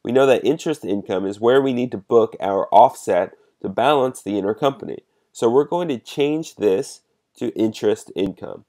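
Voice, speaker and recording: A man giving a measured, explanatory step-by-step narration as a software demonstrator. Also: mid-range voice.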